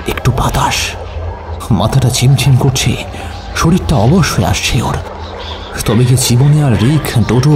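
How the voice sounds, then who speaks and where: Male horror narrator over music. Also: medium pitch. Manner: suspenseful, dramatic phrasing. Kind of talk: dramatic scripted horror story narration.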